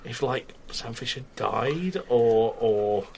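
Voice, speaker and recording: A man's voice: medium pitch, takes it slowly, creaky, a little mellow, slight background noise. A British man chatting casually as a podcast host, giving blunt, critical opinions. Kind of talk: casual chat, opinionated review.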